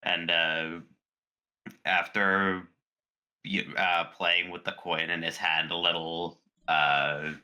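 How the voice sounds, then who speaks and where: A man playing a tabletop roleplaying game, speaking in character with hesitant pauses and many fillers. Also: sits low, little pitch movement, pushed and bright, smooth. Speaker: player.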